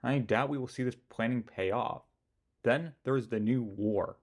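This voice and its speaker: A man's voice: medium pitch, moderate pace. Opinionated male history narrator. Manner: opinionated, with self-mocking asides.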